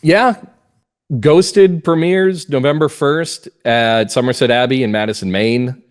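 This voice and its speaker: A man's voice: medium pitch, steady tone, unhurried. A man speaking as a podcast guest and playwright, chatting casually in an interview.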